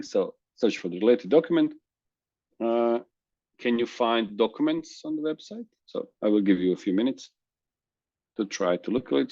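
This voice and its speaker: A man whose first language is Croatian, presenting a software demo in a webinar, halting with restarts and fillers over a video call. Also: fairly low pitch, dry recording, muffled recording. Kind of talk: screen-share software demo.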